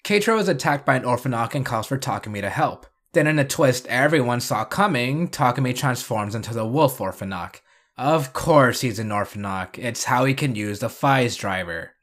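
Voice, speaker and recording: A man's voice: mid-range voice, lively intonation, normal speed, fairly bright tone. Man narrating with dry sarcasm. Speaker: video essay narrator. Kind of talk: scripted review and recap narration.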